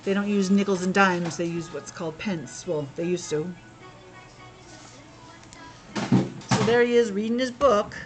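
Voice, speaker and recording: A woman's voice: mid-range voice, unhurried, pauses often, loud music behind the voice. A woman reading a picture book aloud to young children as a teacher, breaking off for chatty asides, explanations and questions. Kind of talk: read-aloud storytelling with casual asides.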